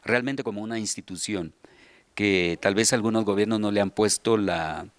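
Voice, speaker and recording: A middle-aged man with a Guatemalan accent recounts history as an interview guest. His voice is on the low side, and he goes haltingly as he searches for words. The handheld microphone gives a dry sound. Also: no room sound. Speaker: interview guest, children's radio theatre deputy director. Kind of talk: conversational interview storytelling. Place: large tiled hall indoors, group seated behind.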